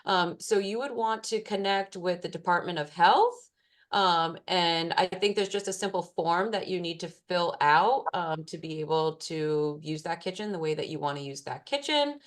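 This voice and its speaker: A woman's voice: fairly low pitch, normal speed. A woman, a food safety specialist, answering participants' questions in an online class in a casual way with many fillers.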